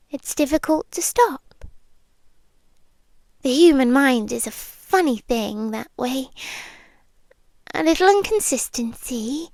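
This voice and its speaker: Possessive vampire girlfriend, British, female performer: high, very unhurried, soft and whispery, sweetly menacing ASMR delivery. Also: dry recording, heavily acted. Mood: quietly menacing. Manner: soft, deliberate, lingering pauses, slipping into whispers. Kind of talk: ASMR roleplay, slow and intimate, often whispered. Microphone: close microphone, dry.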